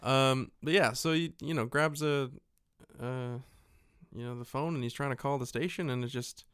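Male podcast co-host, smooth clear voice, dry close sound, casual joking recap, sweary. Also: medium pitch, normal speed, dry recording. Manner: profane, joking, animated retelling. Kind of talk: casual film recap chat.

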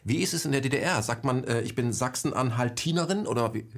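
A man's voice: mid-range voice, fast talker. A man hosting a radio interview, asking probing follow-up questions and circling back to keep a topic going.

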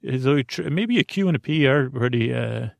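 A man's voice: medium pitch, lively intonation, moderate pace, vocal fry, dry recording. A man hosting a sleep podcast in a rambling, meandering monologue, hesitant and circling back, musing whimsically with an earnest air.

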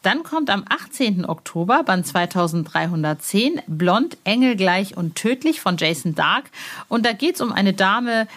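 A woman hosting a podcast in a casual, chummy, informal way, with a slightly husky voice. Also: medium pitch. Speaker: podcast host. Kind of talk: casual chat and podcast presenting.

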